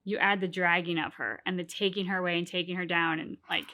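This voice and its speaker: Bright-voiced woman in casual chat. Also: lowish voice, fast talker. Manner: animated, rambling with many restarts. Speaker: co-host of a game reaction channel.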